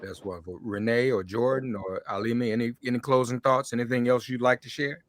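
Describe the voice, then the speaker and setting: A man's voice: mid-range voice, fairly even intonation, brisk. An American man hosting a conversational interview. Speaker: show host.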